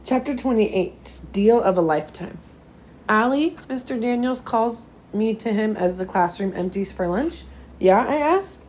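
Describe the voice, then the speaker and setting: A woman with a slightly hoarse, recovering voice, reading a children's novel aloud as a teacher does for a class. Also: fairly high pitch, expressive, normal speed, few pauses, warm tone, a little hiss or hum.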